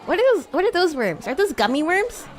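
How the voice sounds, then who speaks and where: A woman roleplaying a playful, bratty demon classmate on a livestream, chatting in a halting, improvised way. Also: very high voice, very animated, faint music behind. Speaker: streamer and VTuber host.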